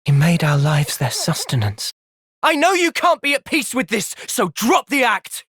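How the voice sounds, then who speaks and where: A man voicing an earnest, thoughtful young soldier in a video game, with an English accent and a measured, sincere delivery. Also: very high-pitched, wildly swooping pitch, a bit bright, slightly rough, dry recording, full character voice. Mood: urgent, impassioned. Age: young adult. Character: earnest, thoughtful young male soldier, gentle but resolute. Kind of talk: video game cutscene acting.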